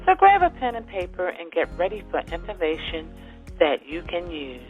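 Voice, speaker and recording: Lively woman host over faint music. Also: lowish voice, normal speed, lo-fi sound. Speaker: radio show host. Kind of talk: show intro.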